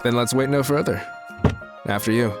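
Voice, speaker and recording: A man acts out a wealthy, self-important suitor in an audio drama. He talks in a middle-pitched voice, boastful and eager to impress, with clear music running behind him. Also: moderate pace, natural voice. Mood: eager, smooth. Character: boastful wealthy man, smitten and flattering on a date.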